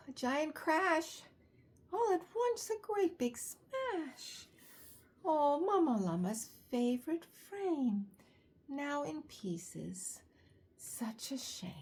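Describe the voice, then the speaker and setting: A woman reading a children's picture book aloud, expressively, with drawn-out words and chatty asides and questions to young listeners. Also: a little high, a little mellow, a little hiss or hum.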